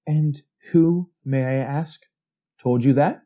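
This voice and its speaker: A man's voice: medium pitch, takes it slowly, mellow, highs cut off, natural voice. An actor playing a brooding, obsessive male amateur inventor, evasive and hesitant, in a retro radio drama. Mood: weary, evasive.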